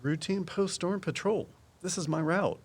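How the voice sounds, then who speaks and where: An actor playing a guarded, knowledgeable woodsman in an audio drama, explaining things in a measured, folksy way. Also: sits high, husky. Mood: matter-of-fact. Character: Walt, guarded, knowledgeable woodsman and conservation volunteer. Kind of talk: full-cast audio drama dialogue.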